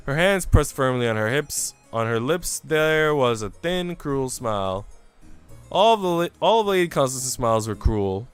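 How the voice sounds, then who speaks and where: A man narrates a visual novel in an expressive, swinging delivery. His voice is smooth and clean, recorded dry, with music playing underneath. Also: mid-range voice. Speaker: streamer reading a visual novel aloud. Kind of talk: dramatic scripted narration, read aloud.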